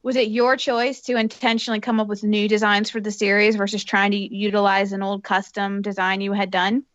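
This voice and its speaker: A woman's voice: mid-range voice, level tone, a little fast, no echo, lo-fi sound. A woman co-hosting a podcast, chiming in with friendly questions. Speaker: podcast co-host. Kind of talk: casual interview chat.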